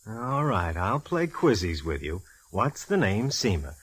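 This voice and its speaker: An actor playing a sardonic, jealous police lieutenant in an old 1940s radio drama. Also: male voice, lowish voice, big pitch swings, unhurried, slightly rough, no room sound, full character voice. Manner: sardonic, wisecracking. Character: gruff, jealous, wisecracking male police lieutenant. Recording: vintage 1940s radio recording.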